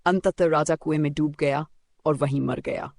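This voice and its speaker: Gravelly male storyteller, dry recording. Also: a little high, steady tone, moderate pace, darkish tone, dry recording. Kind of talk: scripted children's moral story narration.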